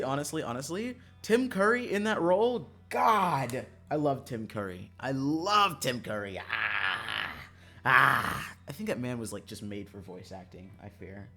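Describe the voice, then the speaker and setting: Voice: mid-range voice, expressive, unhurried, pushed and bright, soft background music. A streamer chatting casually on a livestream in a joking, self-mocking, dramatic way, swearing freely.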